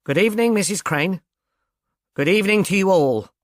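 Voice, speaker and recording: A man playing a little, dignified lawyer in a storybook, strained and formal, speaking with halting courtesy. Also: high voice, lively intonation, no room sound, clean studio sound, voice pushed up. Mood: strained, courteous.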